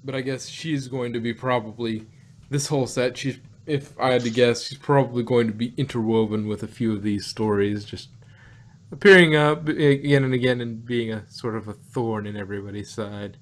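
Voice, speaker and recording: A man talks casually as a podcast co-host. His voice sits at a middle pitch. His delivery is halting and conversational, often with a chuckle in it. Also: steady tone. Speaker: podcast co-host reviewing audio dramas. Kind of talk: casual chat.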